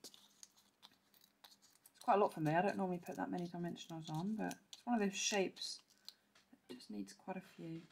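A woman with a croaky voice, chatting through a step-by-step card-making tutorial and clearing her throat now and then. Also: on the low side, steady tone, brisk, long pauses, darkish tone, slight background noise. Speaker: papercraft demonstrator. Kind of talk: casual step-by-step craft tutorial.

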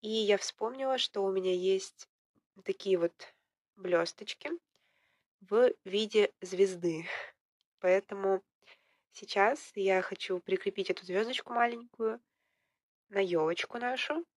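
A young woman with a slightly hoarse voice from a cold, casually narrating her clay crafting at home in a relaxed, self-ironic way. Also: mid-range voice, unhurried, pauses often, no echo, studio quality. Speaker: vlogger, polymer clay crafter. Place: indoors at home, at a table.

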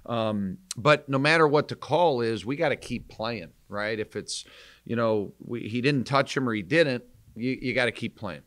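An American man in his forties, a college basketball coach, answering press questions in a measured, candid way with pauses to think. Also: mid-range voice, a bit bright. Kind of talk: postgame press conference answers. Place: indoor press conference room, seated at table. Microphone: microphone on table stand.

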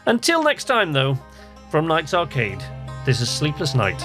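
A man's voice: fairly high pitch, huge pitch swings, measured pace, fairly bright tone. Male game channel host, casual playthrough talk, music underneath.

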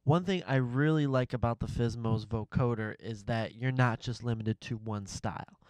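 A man's voice: mid-range voice, moderate pace, darkish tone, no room sound. A man in his thirties talking casually to camera as he demonstrates a synthesizer in a home studio. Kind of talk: casual gear demo talk to camera. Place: small home music studio, indoors.

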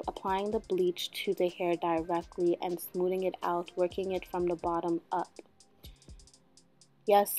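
A young woman in her twenties giving a casual hair tutorial at home, chatty and joking, with a slightly husky voice that she calls a smoker's voice. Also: fairly low pitch, a little mellow. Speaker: beauty vlogger. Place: bedroom at home, indoors.

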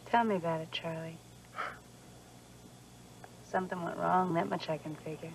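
An actress playing a young, plain-spoken road-trip companion in a TV movie drama. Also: female voice, on the low side, steady tone, normal speed, gravelly, slight background noise. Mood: casual, frank. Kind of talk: 1990s TV movie drama acting. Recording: distant film clip under music.

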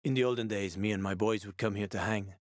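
A man's voice: lowish voice, steady tone, fast, no room sound, clean studio sound, close to an everyday voice. An actor playing a wry, streetwise male survivor and former cab driver in a video game, colloquial and sweary, with an urgent manner. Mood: nostalgic. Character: wry, streetwise male ex-cabbie survivor, fatherly yet shifty. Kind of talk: video game character dialogue.

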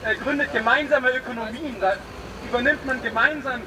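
A man's voice: very high voice, fairly even intonation, a little fast. A man giving an informal speech through a megaphone at a street protest, with many hesitations. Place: street blockade outdoors. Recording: distant outdoor recording with street noise.

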